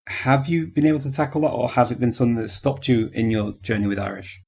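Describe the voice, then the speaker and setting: A man's voice: mid-range voice, fast talker, creaky, a little mellow, slightly rough. A male podcast host asking conversational interview questions and giving frequent short backchannels.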